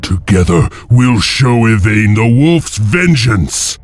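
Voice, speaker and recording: An actor playing a noble, stern wolf clan leader in a video game, speaking in a solemn, commanding way. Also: male voice, on the low side, sing-song, takes it slowly, faint music behind. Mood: resolute.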